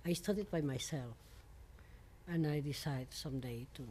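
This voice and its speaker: A woman from Mexico speaking English with a Mexican Spanish accent as a non-native speaker, giving an interview as a composer in halting English full of fillers and restarts. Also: low voice, warm tone, slight background noise. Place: venue in New York.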